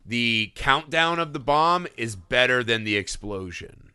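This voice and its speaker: A middle-aged man talks film on a podcast in a sharp, tense tone with heavy swearing. He slips into quick put-on voices when he retells scenes. The sound is close and dry, with no room around it. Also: mid-range voice, clear, resonant tone, no echo. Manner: profane, animated, acts out scenes in voices. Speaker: podcast co-host. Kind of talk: casual film discussion.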